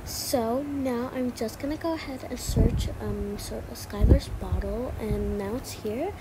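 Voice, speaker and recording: A woman's voice: on the high side, a little slow, very noisy. A woman casually narrating a role-play routine video in an informal, hesitant, chatty way.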